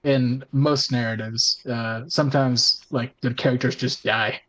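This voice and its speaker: A man's voice: medium pitch, fairly even intonation, unhurried, fairly bright tone, low-quality recording. A man in casual roundtable conversation, informal and a little tentative, checking in as he goes. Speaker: podcast regular, tabletop role-playing player.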